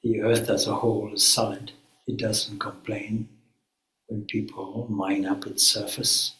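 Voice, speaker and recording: A man with a fairly low voice leads a guided meditation in an echoey room. He speaks very slowly and calmly, setting out short, measured phrases with pauses between them. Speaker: swami, guided meditation leader.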